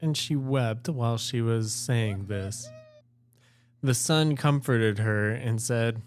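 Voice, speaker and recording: A man's voice: mid-range voice, takes it slowly. A man reading fairy tales aloud as an amateur co-host, moving between storybook narration and casual chat.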